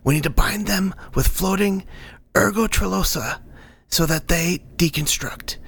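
A man voicing a condescending, impatient scholarly mentor spirit for an audiobook, in a breathy, pompous whisper. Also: a little high, a bit bright, voice pushed up, heavily acted. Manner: pompous, verbose.

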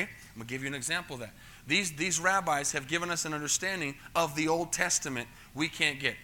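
A man's voice: on the high side, pushed and bright. A middle-aged American man preaching a sermon, teaching in a conversational way, repeating words and checking that the congregation follows. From United States. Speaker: pastor.

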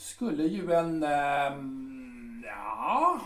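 Actor doing a blustering old artillery captain, scornful, high lively voice, roomy and distant sound. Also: male voice. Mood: wry, musing. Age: older. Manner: blustering, contemptuous, drawn-out pompous phrasing. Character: bitter aging male artillery captain, proud and scornful. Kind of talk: audio drama acting. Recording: roomy, somewhat distant mic.

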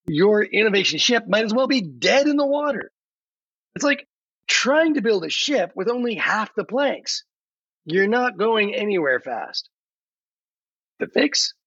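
A man playing a chatty AI podcast guest with a playful, theatrical, salesy delivery and dramatic pauses. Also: fairly high pitch, a bit bright, breathy edge, full character voice. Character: chatty, theatrical AI chatbot guest with pirate flair. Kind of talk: scripted podcast answers full of metaphors. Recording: slightly roomy, dull lo-fi audio.

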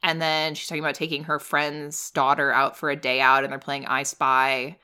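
A woman's voice: sits low, flat delivery, normal speed, sharp tone, clear, resonant tone. A woman in her thirties co-hosting a podcast, chatting eagerly about a film and laughing often. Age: 30s. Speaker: podcast co-host. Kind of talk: casual film discussion.

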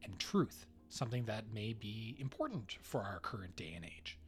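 A man's voice: on the low side, big pitch swings, moderate pace, soft background music. A man hosting a podcast, delivering scripted announcements. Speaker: podcast host.

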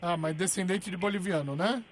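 A man's voice: high-pitched, a little fast, noisy background. A man, hosting a radio show, teasing and provoking a stranger in a prank call. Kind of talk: prank phone call and studio chat.

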